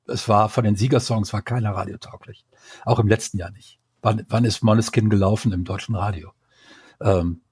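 Man, podcast co-host, low pitch, husky, opinionated, long arguments, dry close sound. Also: a little mellow, dry recording. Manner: opinionated, argues at length. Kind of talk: casual podcast talk.

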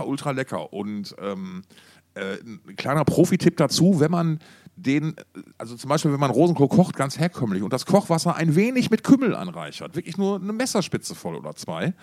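A man's voice: medium pitch, big pitch swings, moderate pace, a little mellow, no room sound, clean studio sound. A man chatting casually as a podcast co-host, rambling and often repeating words as he searches for them. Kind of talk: casual chat between two podcast hosts.

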